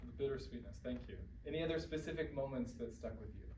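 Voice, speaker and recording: A man's voice: medium pitch, quick pace. A man moderating an audience feedback discussion, recorded from a distance indoors. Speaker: talkback moderator. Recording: echoey room, distant mic, faint noise.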